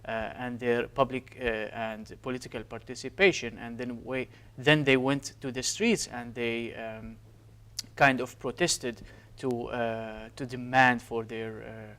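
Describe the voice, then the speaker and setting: A man's voice: mid-range voice, flat delivery, measured pace, fairly bright tone, clean tone. A man in his thirties from Syria with a Syrian Arabic accent, answering interview questions earnestly with frequent hesitations. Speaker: guest, physician.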